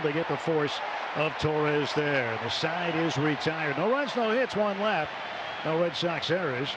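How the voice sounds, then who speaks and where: A man with a slightly high voice calls baseball play by play for radio. He is a live commentator working from a stadium booth, with clear background noise of the ballpark behind him. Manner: measured between plays, excited on big plays.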